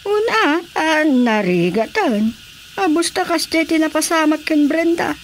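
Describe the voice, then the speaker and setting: A woman's voice: sits high, animated, unhurried, a little hiss or hum, poor audio. An actress playing a fretful, gossipy older village mother in a Filipino radio drama comedy, with an Ilocano accent. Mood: concerned, gossipy.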